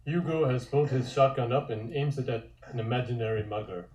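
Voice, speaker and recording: A man's voice: mid-range voice, a little fast, a little mellow. A man reading scripted comic narration and stage directions in an echoey room.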